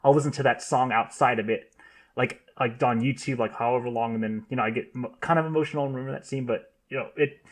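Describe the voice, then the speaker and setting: A man co-hosting a podcast, rambling casually with lots of "like" and "you know" and a soft trailing delivery. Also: mid-range voice, fairly even intonation, quick pace. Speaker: podcast co-host. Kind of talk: casual chat.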